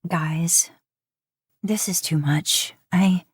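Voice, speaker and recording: Woman narrator as low-voiced, motherly middle-aged woman. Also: fairly even intonation, drawn-out words, slightly rough, no echo, clean studio sound, full character voice. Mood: moved. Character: caring middle-aged woman, steady and motherly, easily moved.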